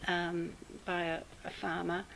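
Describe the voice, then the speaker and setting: Interviewee: a fairly low-voiced New Zealand woman, relaxed storytelling with fillers, faint noise behind. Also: vocal fry, low-quality recording. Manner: unhurried, with many fillers and pauses. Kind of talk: casual storytelling.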